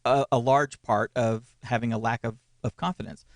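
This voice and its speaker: A man's voice: mid-range voice, fairly even intonation, moderate pace, dry recording. A man in his forties co-hosting a casual ministry chat, rambling and restarting his sentences with small chuckles. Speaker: co-host, church media consultant. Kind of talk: casual conversational podcast.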